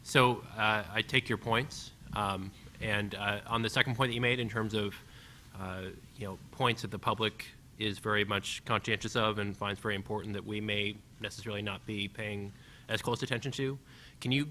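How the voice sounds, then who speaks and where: A man's voice: lowish voice, steady tone, normal speed, pushed and bright, a little hiss or hum. A man on a panel politely asking a follow-up question, with hesitant fillers. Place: conference hall.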